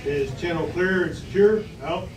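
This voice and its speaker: A man reading commanding villain, higher-pitched, echoey. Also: normal speed, crowd noise, natural voice. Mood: insistent. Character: Gargantua, commanding, scheming male villain. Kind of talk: table read of a sci-fi script. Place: restaurant dining room table. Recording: distant, echoey, noisy restaurant.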